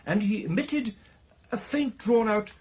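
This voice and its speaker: An actor playing an excitable British club gentleman, telling his tale in a theatrical, hesitating and emphatic radio drama style with a husky voice. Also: high-pitched, measured pace, a little hiss or hum. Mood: animated, amused. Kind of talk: dramatic storytelling in a radio play.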